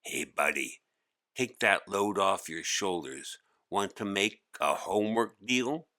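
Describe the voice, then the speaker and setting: A man acts out a tiny old male gnome from a children's storybook, low in voice. He is shifty and chummy, with an unhurried, wheedling dealmaker's patter. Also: expressive, bright, forceful tone, no echo, clean studio sound, full character voice. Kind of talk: storybook character voice.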